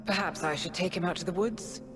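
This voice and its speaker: A woman playing a poised, calculating intelligence handler in a video game, speaking in a measured, formal and cutting way. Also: lowish voice, close to an everyday voice. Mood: cold mockery. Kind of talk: scripted video game acting. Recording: long reverb, music underneath.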